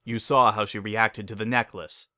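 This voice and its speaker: Reader doing a calm sensible guy unicorn, plain n matter of fact, dry close recording. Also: medium pitch, moderate pace, no room sound, natural voice. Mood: defensive.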